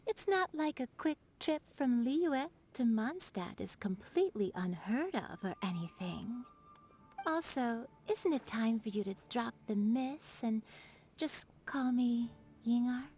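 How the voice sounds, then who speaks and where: A highish, slow-paced voice of a teasing young sweetheart in a video game. She is coy, affectionate and sure of herself, coaxing playfully as she talks. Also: animated, dark tone, faint music behind. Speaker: game character voice actor.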